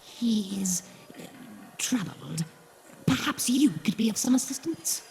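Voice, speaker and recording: A man voicing a pale blue, horned male alien who murmurs formally in hushed, whispery tones. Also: high-pitched, expressive, measured pace, vocal fry, big-room sound, pitched above the performer's own voice, full character voice. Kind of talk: audio drama character voice.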